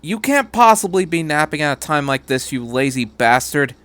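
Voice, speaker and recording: A man's voice: a little high, big pitch swings, moderate pace, fairly bright tone, voice pushed up. A man voicing a tough, irritable woman officer who snaps orders brusquely in a story reading. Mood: exasperated. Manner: brusque, snapping.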